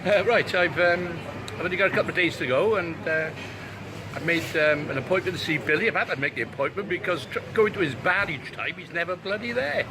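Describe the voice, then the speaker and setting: A man's voice: high voice, a little fast. An older man from Wales, chatting casually as an interviewer, laughing often and filling his talk with ums and uhs. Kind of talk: casual chat. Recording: outdoor street recording with crowd noise.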